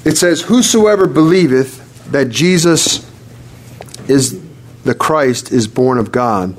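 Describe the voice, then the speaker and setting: A man preaching to a congregation and praying aloud fervently, calling on the Lord again and again and reading scripture slowly. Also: mid-range voice, animated. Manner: fervent, repeats "Lord" often; reads scripture slowly and deliberately. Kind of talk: sermon and spoken prayer. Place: church service with congregation responding.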